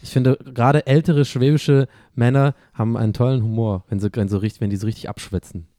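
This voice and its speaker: A man's voice: mid-range voice, steady tone, brisk, dark tone, no echo, studio quality. A man chatting casually in a playful, self-mocking way. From Germany. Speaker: show host answering listener questions. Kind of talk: casual joking group chat.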